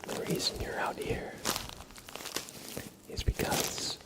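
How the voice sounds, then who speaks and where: A man hosting an ASMR livestream in a gentle, hushed voice that often drops into a whisper, close to the microphone. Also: slow pace. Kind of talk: ASMR, soft-spoken and whispered livestream chat.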